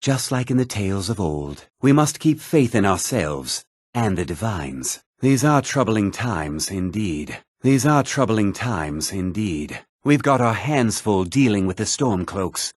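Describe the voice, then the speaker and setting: A haughty nobleman from a fantasy game, speaking in a fairly low, husky voice. His delivery is pompous and theatrical, with lively swings in pitch and a condescending air. The recording is close and dry. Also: unhurried, few pauses, dry recording. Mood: formal, measured. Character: haughty, self-important male noble in a fantasy realm. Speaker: video game voice actor. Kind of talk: scripted fantasy game dialogue lines.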